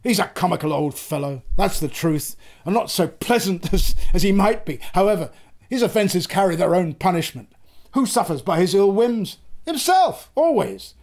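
A man voicing a jovial young gentleman, amused and good-natured, in a storybook reading. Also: high-pitched, sing-song, normal speed.

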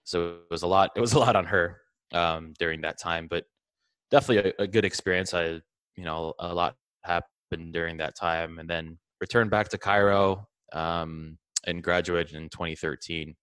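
A young man from Greece, raised in Egypt, recalling his childhood in a relaxed interview, with frequent fillers and restarts. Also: sits low, moderate pace, smooth, no echo. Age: young adult. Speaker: interview guest. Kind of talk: casual conversational interview.

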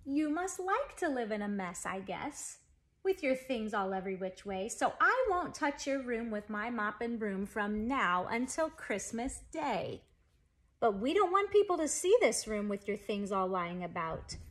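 Woman reading as an exasperated scolding storybook mother, high-pitched. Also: big pitch swings, measured pace, fairly bright tone. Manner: emphatic, reproachful.

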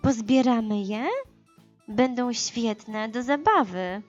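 Woman voicing an eager, high-pitched little teddy bear. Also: slow, a little mellow, muffled recording, faint music behind. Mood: excited. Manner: eager, exclaiming and questioning. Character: curious, cheerful little teddy bear, young male. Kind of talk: children's storybook character voice.

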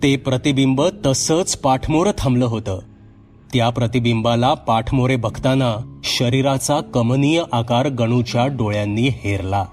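A man's voice: medium pitch, measured pace, a little mellow, soft background music. A man narrating a scripted horror story as a storyteller, in a dramatic, suspenseful manner.

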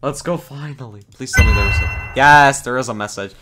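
Excitable young male game streamer. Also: medium pitch, brisk, over music. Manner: excitable, laughs often, groans at fails. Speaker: gaming streamer. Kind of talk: casual live-stream commentary.

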